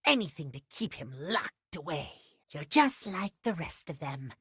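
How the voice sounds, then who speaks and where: A man's voice: on the high side, very animated, a little slow, bright, forceful tone, breathy edge, no room sound, studio quality, exaggerated voice. A man voicing a sinister, boastful and resentful clock character in a video game, taunting and menacing in the third person. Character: sinister, possessive hidden clock alter ego, boastful and resentful.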